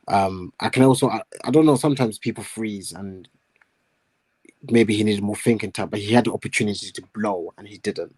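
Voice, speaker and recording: Hoarse low man with a cold. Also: a little mellow, dry recording. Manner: thoughtful, measured analysis with fillers. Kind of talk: casual live-stream chat.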